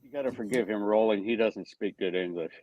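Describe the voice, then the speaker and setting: A man's voice: on the low side, brisk, a little mellow, slight background noise. A man in his sixties from the Pittsburgh area, joking and telling stories in an easygoing group chat. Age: 60s. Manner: easygoing, joking, leisurely storytelling. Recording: dull lo-fi voice chat audio.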